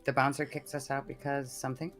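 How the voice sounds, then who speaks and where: A woman's voice: rumbling low, little pitch movement, quick pace, faint music behind. A woman who performs improv comedy, tossing out ideas in casual, joking banter.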